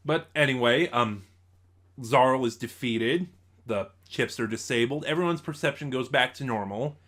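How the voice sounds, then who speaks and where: A man presents a casual review in an ordinary mid-pitched voice. He speaks haltingly, letting phrases trail off and then landing firmly on certain words. It sounds like an informal host talking through his opinions. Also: pushed and bright. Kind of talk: casual spoken review of audio dramas.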